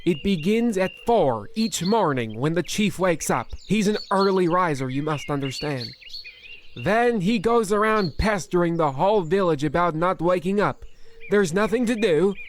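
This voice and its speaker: A man's voice: high-pitched, lively intonation, normal speed, slight background noise. A man voicing a nervous, rambling male expedition cook who insists on repeating his warnings in a comedic radio play. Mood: insistent.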